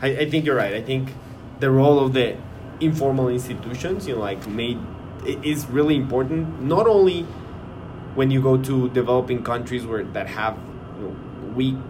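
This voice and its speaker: A man's voice: medium pitch, normal speed. Hesitant man in academic chat. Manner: hesitant, repeats words, many fillers. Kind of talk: casual academic conversation. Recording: noisy background hiss.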